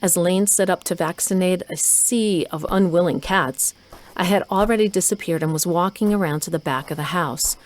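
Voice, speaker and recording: A woman's voice: on the low side, normal speed, natural voice. A woman playing a resolute, stealthy mother and doctor who narrates a scripted audio drama. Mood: measured suspense. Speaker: narrator and lead actor.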